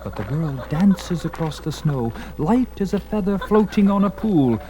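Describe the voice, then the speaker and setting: A man's voice: mid-range voice, slight background noise. A man narrating a dramatized story in an intense, theatrical and portentous manner. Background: prominent music.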